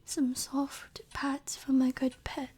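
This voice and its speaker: Woman as female vampire professor, audio roleplay, predatory, possessive, mellow breathy voice, unhurried, whispery, softly commanding. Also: sits high, completely flat delivery, full character voice. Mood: gloating, coddling. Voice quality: breathy, often dropping to a whisper. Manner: slow, sultry, teasing, softly commanding. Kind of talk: intimate ASMR audio roleplay.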